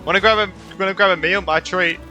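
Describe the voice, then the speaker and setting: A man's voice: high-pitched, steady tone, fast, rough recording. A young man giving casual, slangy reaction commentary over a game, often exclaiming and trailing off mid-thought. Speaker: gaming streamer. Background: game music.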